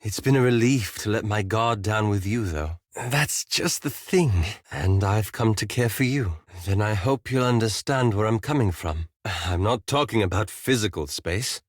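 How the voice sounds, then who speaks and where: An actor playing a reserved, courteous elf adventurer in a video game, speaking formally and articulately in a guarded, hesitant way. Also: male voice, lowish voice, expressive, normal speed, dry recording, studio quality. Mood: reserved, earnest. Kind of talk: scripted video game character dialogue.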